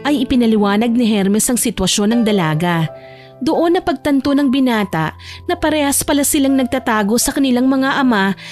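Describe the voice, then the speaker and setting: Female audiobook narrator for romance fiction, higher register, storytelling read over background music. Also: darkish tone. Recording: music bed behind the voice.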